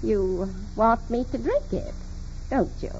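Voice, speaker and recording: A woman's voice: on the high side, expressive, slow, a little mellow. An actress playing a despotic, irascible old invalid woman of wealth in a 1940s radio drama, imperious, suspicious and sarcastic. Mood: bitter, suspicious. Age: older. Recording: muffled, noisy 1940s radio recording.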